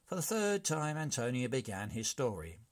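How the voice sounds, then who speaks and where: A man narrating a children's storybook aloud in an expressive, theatrical read-along style. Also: medium pitch, normal speed.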